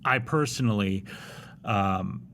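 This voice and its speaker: A man's voice: fairly low pitch, slow pace, creaky, a bit bright, a little hiss or hum. A man in his forties in casual podcast chat, candid and wry, often restarting his sentences. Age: 40s. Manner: candid, rambling with frequent restarts, wry humor.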